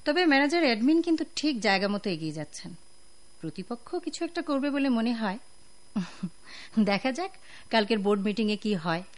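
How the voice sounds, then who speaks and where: A woman with a Bangladeshi accent playing a discreet, observant office secretary in a radio drama, polite and measured. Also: fairly high pitch, big pitch swings. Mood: shrewd.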